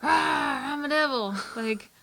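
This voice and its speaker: A woman's voice: high-pitched, expressive, takes it slowly, bright, forceful tone. A woman co-hosting a video, chatting casually in a playful, blunt way. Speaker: video co-host, first-time comic reader. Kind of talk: casual chat.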